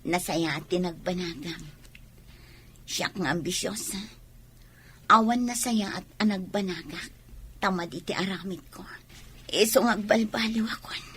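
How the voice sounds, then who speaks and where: A woman's voice: on the low side, a little slow, long pauses, a bit bright, a little hiss or hum. A woman with an Ilocano accent acting an ambitious, envious and regretful young pregnant village woman in a radio drama.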